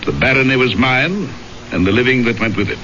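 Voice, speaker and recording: An actor playing a proud, grim baronet in a radio drama, speaking formally and haughtily. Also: male voice, medium pitch, animated, fairly quick, pushed and bright. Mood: proud, bitter. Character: proud, grim English baronet, powerful and embittered. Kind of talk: 1970s radio drama acting. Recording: muffled vintage radio broadcast, faint noise.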